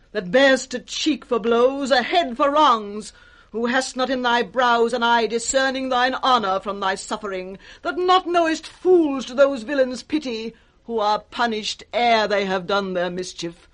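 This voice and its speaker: A woman's voice: on the high side, lively intonation. An actress playing a scornful, scheming, commanding noblewoman in a British accent, in a theatrical 1960s radio Shakespeare style. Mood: scornful, raging. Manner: theatrical, deliberate, swooping delivery.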